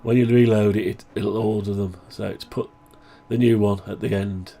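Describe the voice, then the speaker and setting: A man talking through a project update on his own software, rambling and thinking aloud, voice groggy from the flu. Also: on the low side, steady tone, moderate pace. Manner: rambling, thinking aloud, frequent throat clearing. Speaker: hobbyist maker showing his own software. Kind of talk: casual screen-share project update.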